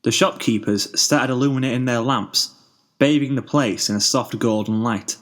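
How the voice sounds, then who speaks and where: A man reading a story aloud as narrator, in an expressive scripted style. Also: mid-range voice, moderate pace. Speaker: fan fiction narrator.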